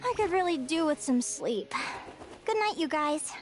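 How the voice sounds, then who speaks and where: A woman's voice: sits high, lively intonation, normal speed, slight background noise. A woman voicing an encouraging young female adventurer and creature trainer in a video game. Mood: weary, reflective. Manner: perky, encouraging. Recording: game music behind the voice.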